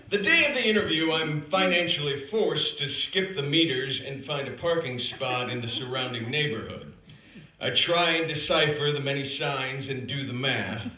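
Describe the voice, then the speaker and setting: An American man performs his own comic true story in an echoing live room. He takes his time, with dramatic pauses and lively swings in pitch. His delivery is wry and self-deprecating. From United States, South Dakota. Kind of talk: scripted comic personal narrative performed live. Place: indoor performance space at an acting institute. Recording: roomy, echoing live stage recording.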